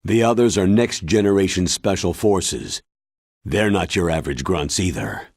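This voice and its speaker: A man voicing a grave, authoritative military commander in a video game, explaining in a measured, solemn way with a husky voice. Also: low-pitched, a little mellow, dry recording, studio quality.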